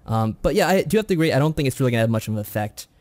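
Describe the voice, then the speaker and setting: A man's voice: mid-range voice, expressive, racing, darkish tone, dry recording. Man co-hosting, dry close sound. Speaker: podcast co-host. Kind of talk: casual discussion.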